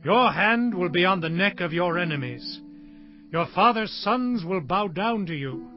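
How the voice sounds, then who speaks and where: An old Hebrew patriarch in a scripture drama. He declaims solemnly and very slowly, in a fairly high voice for a man. Music plays softly behind him. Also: animated. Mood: grave, prophetic. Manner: solemn, deliberate, grandly pronouncing. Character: frail aged Hebrew patriarch solemnly blessing his sons. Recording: muffled lo-fi audio under music.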